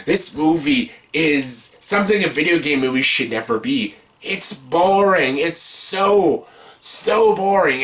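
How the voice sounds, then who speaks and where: A man's voice: fairly high pitch, a bit bright. A man hosting an opinionated, unscripted countdown review, speaking bluntly and emphatically in an exasperated way, with casual swearing and words repeated for stress. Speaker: host of a movie countdown video.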